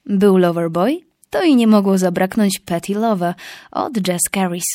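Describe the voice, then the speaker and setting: A woman's voice: medium pitch, animated, normal speed, dark tone, dry recording, studio quality. A young woman presenting music in a scripted, reflective way. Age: young adult. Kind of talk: scripted music show narration.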